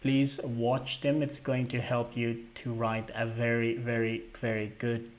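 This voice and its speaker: A young man hosting a live question-and-answer stream, reading viewer questions aloud and answering in a halting way with many fillers. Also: mid-range voice, completely flat delivery. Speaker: livestream host answering scholarship questions.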